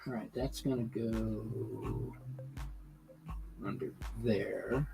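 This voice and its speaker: A man live streaming his hobby, talking casually and haltingly while he works on a model layout, with lots of fillers and restarts. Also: lowish voice, fairly even intonation, vocal fry.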